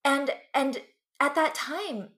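A woman's voice: high-pitched, slow, a bit bright. Young woman on a podcast, earnest and thoughtful, keeps saying "right?" and "like". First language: English. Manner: earnest, reflective, frequent "right?" and "like". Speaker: guest. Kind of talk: casual podcast conversation.

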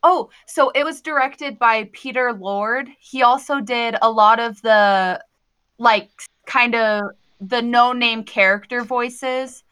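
A woman's voice: fairly high pitch, takes it slowly, pushed and bright, clean tone. A young woman co-hosting a podcast, speaking casually in a group review chat. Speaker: podcast co-host.

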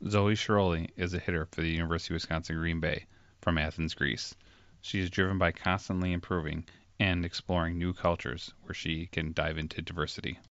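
A man hosting a podcast, reading a scripted intro and then asking long, measured interview questions. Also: deep voice, level tone, a bit bright, dry recording, dull audio. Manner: measured, asks long multi-part questions. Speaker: podcast host.

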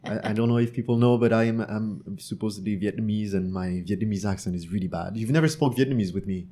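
A man's voice: lowish voice, quick pace, warm tone. A man in his thirties, from France, co-hosting a podcast interview in a casual, friendly, self-deprecating way. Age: 30s. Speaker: podcast co-host.

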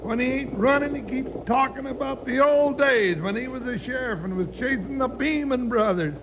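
Casting: comic western sidekick, grumbly, folksy, very high-pitched, on a noisy old radio recording. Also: male voice, moderate pace, lo-fi sound. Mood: weary, fond. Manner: exasperated, folksy. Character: grumbling, comic, good-hearted cowboy sidekick.

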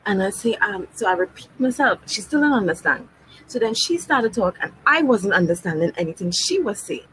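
A woman telling anecdotes in a relaxed way during casual podcast chat. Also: mid-range voice, a little fast, slight background noise, low-quality recording. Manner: conversational, anecdotal.

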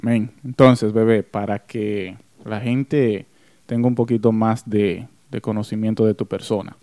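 A man's voice: on the low side, steady tone, unhurried, mellow, no room sound. A man hosting an interview, friendly and earnest in manner. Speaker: host.

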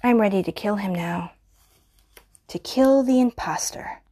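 A woman's voice: mid-range voice, measured pace, dark tone, slight background noise, lightly acted. A woman voicing an obsessive, possessive stalker who kills for love, speaking intimately and often in a menacing whisper in an audio roleplay.

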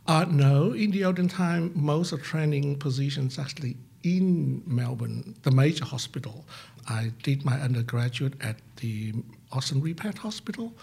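An older male psychiatrist, answering interview questions slowly with many fillers. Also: fairly high pitch, creaky, a little mellow, husky. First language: Vietnamese. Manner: halting, many fillers, laughs at himself. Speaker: psychiatrist and senior lecturer.

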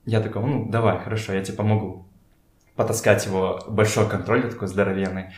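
A young man chatting casually as an interview guest, informal and halting as he searches for words. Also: on the low side, fairly even intonation. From Kazakhstan. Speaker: podcast guest, photographer and art director. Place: indoors in a home. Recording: echoey room.

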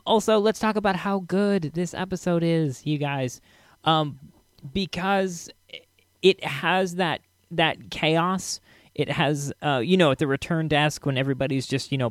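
A man with a mid-range voice talks as a podcast host, casual and enthusiastic, often feeling his way haltingly through a thought. The sound is close and dry, with faint music underneath. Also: medium pitch, no echo. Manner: halting, enthusiastic fan talk. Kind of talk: casual solo chat to listeners, commentary.

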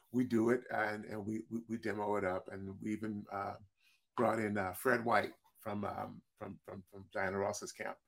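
A man with a deep voice, a singer-songwriter, telling stories in a relaxed interview and often restarting his words. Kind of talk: casual interview storytelling.

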